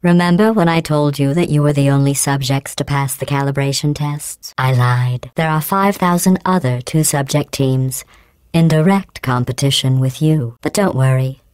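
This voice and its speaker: A woman voicing a cold, sarcastic female AI overseer in a video game, with robotic processing on her voice and deadpan, mocking praise. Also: low-pitched, smooth, dry recording. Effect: synthetic robotic voice processing.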